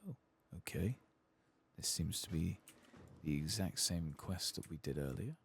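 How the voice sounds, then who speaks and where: Very deep, creaky soft-spoken man. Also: expressive. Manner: hushed, unhurried, muttering to himself. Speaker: streamer playing a fantasy game. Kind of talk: soft-spoken, whispered ASMR gameplay commentary.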